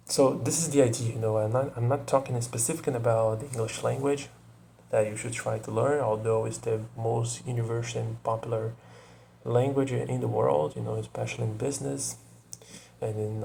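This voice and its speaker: Brazilian man, gravelly, searching for words. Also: medium pitch, fairly even intonation, moderate pace, dark tone, a little hiss or hum. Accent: Brazilian Portuguese. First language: Portuguese. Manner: searching for words, restarts, frequent "you know". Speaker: video creator. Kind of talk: casual monologue to camera.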